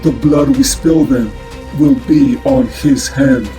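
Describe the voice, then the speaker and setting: A man's voice: mid-range voice, slow, warm tone, soft background music, lightly acted. A man voicing a wrathful elemental giant in a comic dub, making solemn, ominous pronouncements. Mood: solemn menace. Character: menacing, wrathful elemental giant threatening all life. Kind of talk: dramatic comic dub acting.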